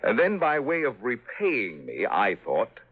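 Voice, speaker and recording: Older male executive: dignified, pressing, raspy, on a dull vintage broadcast recording. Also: mid-range voice, huge pitch swings, a little slow, heavily acted. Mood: bitter, confiding. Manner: formal, emphatic, hesitant at moments. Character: dignified, urgent gray-haired advertising executive, widowed father. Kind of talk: 1950s radio drama acting. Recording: muffled, band-limited vintage radio recording.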